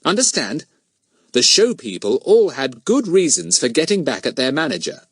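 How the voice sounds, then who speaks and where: Man doing a confident, bossy schoolboy, raised pitch. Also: very animated, normal speed, slightly rough, no room sound, studio quality. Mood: explaining. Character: confident, bossy, self-pleased schoolboy leader.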